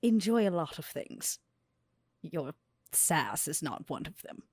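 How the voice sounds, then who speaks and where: A woman plays a haughty character in a tabletop game with a slow, deliberate delivery. Her voice is low-set and husky, recorded dry and close. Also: big pitch swings, a little mellow, no room sound. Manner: haughty, measured. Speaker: player. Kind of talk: in-character roleplay.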